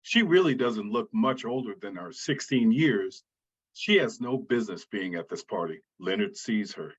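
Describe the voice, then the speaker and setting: A man with a husky voice reading scripted narration as stage directions, on a slightly muffled recording. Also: on the low side, expressive, normal speed.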